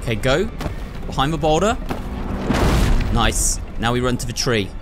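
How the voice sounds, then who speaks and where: Male let's-play host, mid-pitched, running commentary to viewers, thinking aloud, clear game music underneath. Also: lively intonation, normal speed. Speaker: video game playthrough host. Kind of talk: excitable gameplay commentary.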